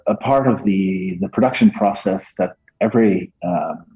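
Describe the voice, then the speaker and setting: A Dutch-accented man in his forties explains marketing in a slow, careful way, often stopping to restart his sentences. His voice sits low and mellow, a little husky, coming through the muffled, lo-fi sound of a video call from an office. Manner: measured, hesitant, many fillers and restarts. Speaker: marketing executive guest. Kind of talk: business interview, explaining. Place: office room, indoors. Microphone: earbuds on a video call. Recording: dull lo-fi video call audio.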